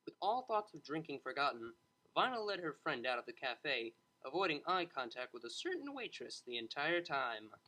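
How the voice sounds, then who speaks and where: A narrator reading a story aloud in a scripted, expressive storytelling style. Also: medium pitch, moderate pace, poor audio.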